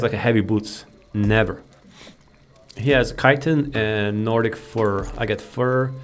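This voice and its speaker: A man giving casual, rambling gameplay commentary, laid-back and thinking aloud. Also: mid-range voice. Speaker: gaming video creator.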